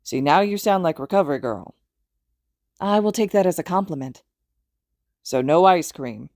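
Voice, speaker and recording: A woman's voice: on the low side, animated, normal speed, a little mellow, dry recording, studio quality. A woman voicing a genial older man, a mentor in an audiobook, hearty and slightly formal. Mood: grumbling, playful.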